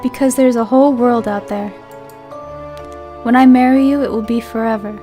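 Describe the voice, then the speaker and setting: A woman voicing an earnest young nobleman from a game, reading his lines gently. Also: on the high side, fairly even intonation, normal speed, faint music behind, natural voice.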